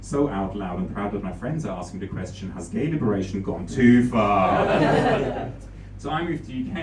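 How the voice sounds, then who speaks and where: Man, slight German accent, stand-up comedian, dry delivery, lively pitch, echoey room, distant mic. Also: noisy background. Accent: German-Swiss. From Switzerland. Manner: dry, tag-question asides. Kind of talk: stand-up set. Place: comedy venue with live audience. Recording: roomy, distant mic, audience laughter.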